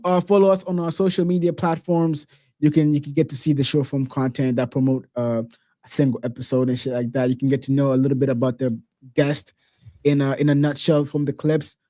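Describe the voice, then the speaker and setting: A man hosting a podcast, chatting casually in a relaxed, slangy way and often saying "bro". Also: on the high side, no echo, clean studio sound. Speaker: podcast host.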